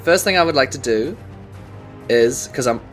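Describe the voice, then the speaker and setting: Eager New Zealand player with lively pitch. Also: normal speed, soft background music. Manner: eager, questioning, laughing often. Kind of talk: playing a tabletop game.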